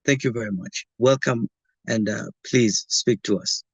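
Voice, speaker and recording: A man with a low, husky voice moderates an online session. He introduces the guest speaker formally and a little haltingly, over a dry, close sound. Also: dry recording, highs cut off.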